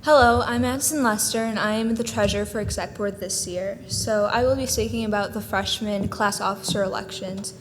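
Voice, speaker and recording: Brisk teenage girl in a reverberant room. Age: late teens. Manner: brisk and matter-of-fact, some "um" fillers. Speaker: student association treasurer. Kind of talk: brief formal report. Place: school board meeting room. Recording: reverberant room with faint rumble.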